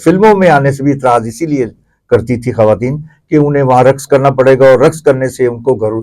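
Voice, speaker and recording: A man's voice: mid-range voice, a little mellow. A man with a Pakistani accent giving explanatory answers as a guest commentator in an interview.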